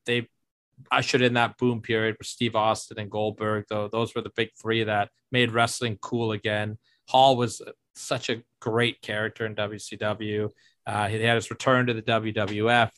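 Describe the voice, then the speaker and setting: A man's voice: fairly low pitch, little pitch movement, normal speed, a bit bright, no room sound. A man hosting a casual podcast in an upbeat, jokey way, steering the talk and introducing clips. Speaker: podcast host.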